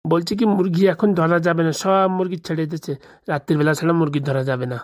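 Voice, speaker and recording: Cartoon village boy played by a woman: grumbling, colloquial, cowardly braggart, lower register, brisk chatter. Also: darkish tone. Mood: timid. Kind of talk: comic cartoon dialogue.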